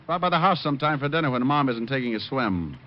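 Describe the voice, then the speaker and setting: A man's voice: mid-range voice, animated, fast, heavy background noise, natural voice. Glib radio private eye played by a man, mid-range voice, cool, wry narration and banter. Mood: glib, brisk. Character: wisecracking male private detective, cool and cocky. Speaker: lead and narrator. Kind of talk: old-time radio detective narration and dialogue. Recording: muffled old radio recording with music.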